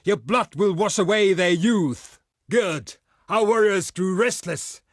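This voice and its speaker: An actor voicing a proud, gruff barbarian warrior envoy in a video game, blunt and boastful, declaiming in archaic, formal phrases. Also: male voice, sits high, takes it slowly, no room sound, studio quality. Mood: fierce, war-hungry. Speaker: diplomat character in a strategy game. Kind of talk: theatrical video game voice lines.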